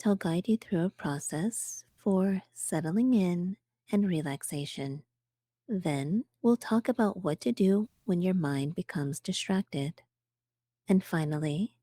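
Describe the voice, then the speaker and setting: Slow, mellow woman guiding meditation. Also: lowish voice, no echo, studio quality. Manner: calm, gentle, measured with pauses. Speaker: meditation guide. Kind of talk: guided meditation narration.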